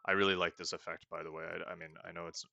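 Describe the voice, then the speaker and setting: A man's voice: low voice, flat delivery, fast talker, gravelly, bright, forceful tone, highs cut off. A man casually talking through a roleplay video game as the host, thinking aloud and often restarting his sentences. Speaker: let's play host. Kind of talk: casual gameplay commentary.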